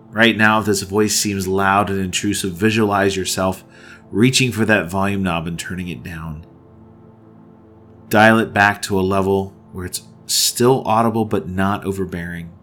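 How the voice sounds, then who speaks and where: A man leading a guided meditation in a slow, soothing way, often repeating his phrases. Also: low voice. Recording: soft background music.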